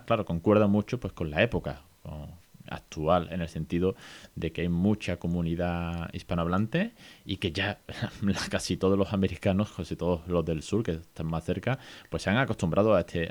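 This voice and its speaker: A man from hosts a relaxed educational podcast in a low, deep voice. He talks chattily, with lots of fillers and friendly questions to his listeners. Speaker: podcast host. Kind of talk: casual educational podcast talk.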